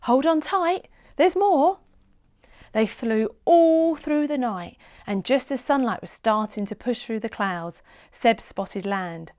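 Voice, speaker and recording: A woman narrating a children's storybook in an expressive, dramatic read-aloud style with deliberate phrasing. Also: a little high, no echo, clean studio sound.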